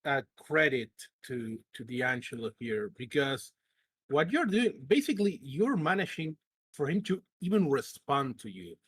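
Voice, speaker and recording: A man's voice: mid-range voice, big pitch swings, measured pace, fairly bright tone, breathy edge, dry recording. A man giving casual commentary during a tabletop roleplaying game.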